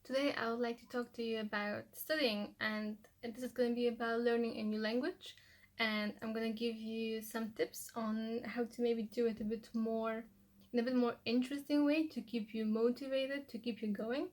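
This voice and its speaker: A woman's voice: fairly high pitch, flat delivery, moderate pace, a little mellow. A young woman, a native Polish speaker, talks casually through her study tips in a loose, rambling way. She fills her talk with "like" and "you know.". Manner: chatty, loose, restarts often with "like" and "you know". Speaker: language-learning vlogger. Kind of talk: casual how-to vlog.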